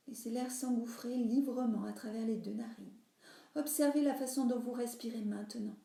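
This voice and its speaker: A woman guides a relaxation practice in a roomy, echoing recording. Her voice sits a little high yet stays mellow. She instructs soothingly, letting phrase endings soften into breath. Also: gravelly. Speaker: yoga nidra teacher.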